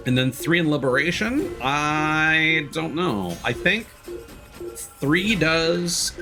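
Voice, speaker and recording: A man doing casual livestream commentary as a game streamer, talking in a rambling, halting, sweary way. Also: medium pitch.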